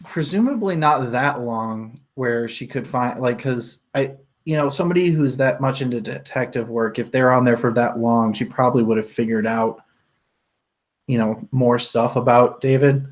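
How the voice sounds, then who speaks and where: A man's voice: mid-range voice, moderate pace. A man in a casual book discussion, talking in an informal, rambling way as a co-host. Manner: rambling, informal, laughs often while talking.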